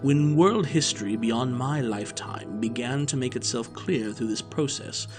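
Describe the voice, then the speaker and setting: An actor playing an aging, cerebral detective, aloof and superior, with precise, clipped speech in an audio drama. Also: male voice, medium pitch, moderate pace, over music, lightly acted. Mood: aloof, musing.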